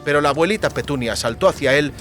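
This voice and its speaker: A male narrator reads fantasy fiction in a dramatic, scripted style. His voice is a little higher than most men's, and faint music plays behind him. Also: quick pace. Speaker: audiobook narrator.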